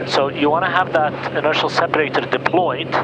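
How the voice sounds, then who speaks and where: A man working as a pilot, calmly explaining procedures step by step and making radio calls over an aviation headset. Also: a little high, a little fast. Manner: methodical, explanatory. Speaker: pilot instructing a guest. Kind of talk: cockpit instruction and radio calls. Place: small turboprop aircraft cockpit in flight. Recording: loud aircraft cabin noise.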